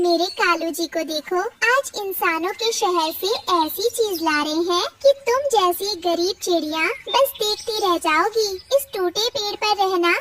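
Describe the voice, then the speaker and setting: A woman's voice: very high voice, far from the mic, noisy background. A woman voicing a vain, boastful female crow in a cartoon, with a haughty, melodramatic delivery. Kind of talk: animated cartoon story dialogue.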